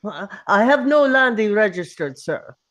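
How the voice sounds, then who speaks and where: A woman's voice: medium pitch, lively intonation, a little slow, a bit bright. A woman reading the part of a polite, apologetic hotel receptionist in a screenplay table read.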